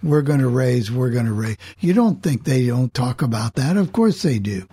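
A man hosting an informal group discussion in a measured, matter-of-fact way. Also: medium pitch, animated, mellow, breathy edge. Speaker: host, radio station operator.

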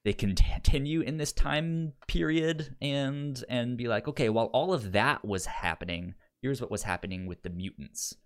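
Man with a halting, stammering delivery. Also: mid-range voice, clean tone. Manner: halting, stammering, repeats syllables and word starts. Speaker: podcast co-host reviewing an audio drama. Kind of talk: casual discussion.

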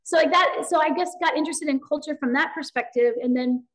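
A woman speaks her mind in a slightly high voice. She talks fast and animatedly, and her casual talk is full of fillers as she explains her ideas. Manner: rapid, animated, lots of fillers; self-described loud voice, outspoken. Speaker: guest. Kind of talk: casual conversation.

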